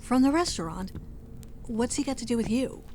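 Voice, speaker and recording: A woman playing a wary young woman exploring a dark house in an audio drama, nervous but wry. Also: fairly high pitch, expressive, moderate pace, faint music behind, natural voice. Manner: nervous, wry, self-talking.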